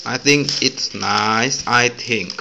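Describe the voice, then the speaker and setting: A man's voice: medium pitch, slow pace, gravelly. A young man from Indonesia with an Indonesian accent, commenting with amusement on toys in a casual unboxing. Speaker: co-host. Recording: noisy background.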